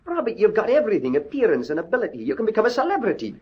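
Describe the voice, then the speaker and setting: A man's voice: sits high, huge pitch swings, very fast, husky, exaggerated voice. Proud, intense man in muffled radio drama. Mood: brash, ambitious. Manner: theatrical, impassioned. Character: bitter, self-loathing ex-convict brother, proud and intense. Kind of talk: old-time radio drama acting. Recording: dull, lo-fi old radio recording.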